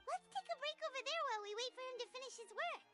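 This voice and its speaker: A woman voicing a small, excitable fairy companion girl who chirps like a child, in a video game. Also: extremely high pitch, very fast, faint music behind, heavily acted. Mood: cheerful. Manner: refers to herself by name.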